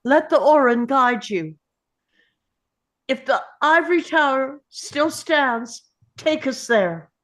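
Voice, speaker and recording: A woman's voice: high voice, lively intonation, takes it slowly, a bit bright, full character voice. A woman playing an exhausted, earnest young boy hero in an urgent manner at a script read. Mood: resolute. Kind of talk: table read of a film script.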